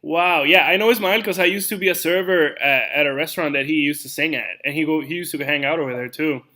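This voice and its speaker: Upbeat man with a bright voice interviewing. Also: on the high side, fast talker. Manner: enthusiastic, laughs along. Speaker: podcast host, interviewer. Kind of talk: casual interview conversation.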